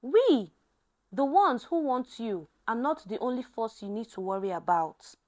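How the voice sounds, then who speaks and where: Woman doing cold menacing villain man in a story, ominous, high pitched, animated. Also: measured pace.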